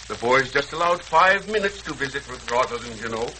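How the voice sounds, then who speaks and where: Casting: avuncular, playful older man, lively intonation, old radio serial with faint hiss. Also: mid-range voice, a little fast. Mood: teasing. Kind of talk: 1940s radio serial acting. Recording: crackly, hissy 1940s radio recording.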